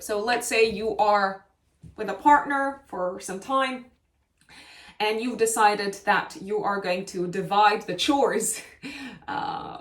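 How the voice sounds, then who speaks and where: A woman with a Romanian accent giving a horoscope reading, thoughtful and hedging, with many fillers and restarts. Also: medium pitch. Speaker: astrologer. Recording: echoey room, mic at a distance.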